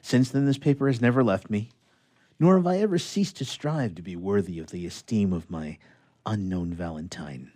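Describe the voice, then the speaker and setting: A man playing an earnest, honest doctor in love in a radio theatre reading, speaking solemnly and a little haltingly. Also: on the low side, expressive, mellow, no echo, clean studio sound, lightly acted. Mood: solemn, grateful.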